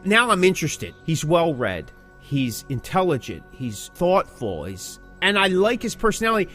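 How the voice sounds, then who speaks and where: Animated middle-aged man talking, music behind. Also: a little high, normal speed. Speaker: podcast host who interviews musicians. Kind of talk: casual podcast conversation.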